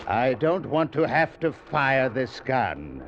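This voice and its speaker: An actor playing a smug, pompous escaped-convict ringleader in a 1940s radio drama, speaking with slow, sneering menace. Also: male voice, medium pitch, very animated. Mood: commanding. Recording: dull, hissy old radio broadcast.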